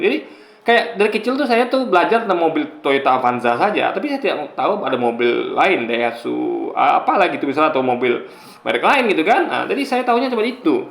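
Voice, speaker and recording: A man in his forties with a high voice speaks casually to his viewers in an echoey room. He explains his points haltingly, circles back over phrases and keeps checking that listeners follow. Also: vocal fry, fairly bright tone. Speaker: YouTuber. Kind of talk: casual commentary monologue to viewers.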